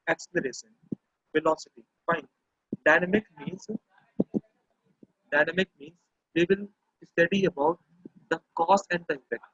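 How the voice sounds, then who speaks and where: A man's voice: on the high side, breathy edge. A man teaching a physics lesson in an online class, repeating key phrases and checking in with "okay" often. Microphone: computer or phone mic over internet call. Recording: dry, muffled lo-fi online class audio.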